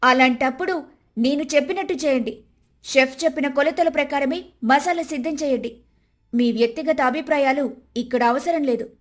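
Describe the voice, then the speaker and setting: A woman's voice: high voice, normal speed, lightly acted. A woman voicing a firm, principled young daughter-in-law in a dramatised story, stern and composed as she gives orders. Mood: stern, commanding. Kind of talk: dramatised Telugu moral story.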